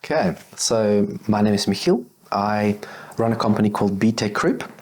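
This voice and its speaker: A man's voice: on the low side, moderate pace, a little mellow. A man in his thirties, a guest on a podcast, chatting casually about his beekeeping business and acting out old conversations with lots of fillers. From Belgium. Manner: chatty, acts out past conversations with many fillers. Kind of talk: casual interview storytelling.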